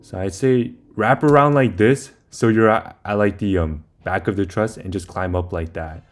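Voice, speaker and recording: A young man with a somewhat low voice talks through a video game in a loose, informal way. He explains each step as he plays, wandering a bit as he goes. Faint music sits behind his commentary. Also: moderate pace. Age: young adult. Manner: informal, rambling, talks through steps as playing. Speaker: video maker giving game guide. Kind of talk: casual game walkthrough commentary.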